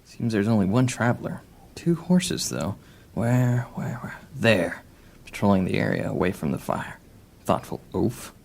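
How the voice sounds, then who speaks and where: A man acts out a sly thief in a fantasy audio drama. His voice sits fairly low and is strongly gravelly. He talks in a cocky, sardonic way, often muttering as if to himself. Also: expressive, measured pace, a little mellow, exaggerated voice. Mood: stealthy muttering.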